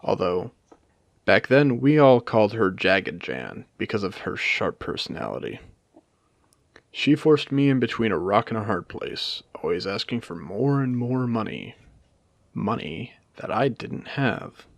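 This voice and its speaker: Casting: male actor as world-weary AI casino owner for audio drama, genteel and theatrical, low voice with swooping, expressive intonation. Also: vocal fry, dry recording, heavily acted. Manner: measured, genteel, theatrical, with pointed pauses. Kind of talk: intimate scripted audio roleplay addressed to the listener.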